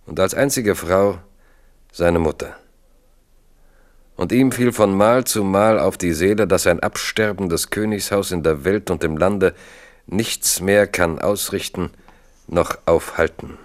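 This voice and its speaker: A man's voice: low voice, a little slow. A man narrating a German radio drama in a scripted, dramatic way, with terse, weighty phrasing. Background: music.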